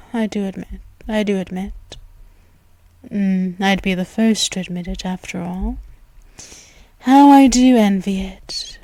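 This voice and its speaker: Woman doing a slow purring vampire lady for an asmr roleplay, lots of whispering, super dry close mic. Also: medium pitch, darkish tone, no echo. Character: seductive, menacing female vampire ruler.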